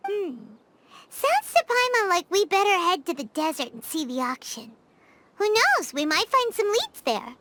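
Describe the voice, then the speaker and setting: An actress voicing a tiny excitable floating fairy companion in a video game dub, squeaky and exclaiming. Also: female voice, normal speed, exaggerated voice. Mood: curious. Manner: squeaky, exclaiming, refers to herself in third person.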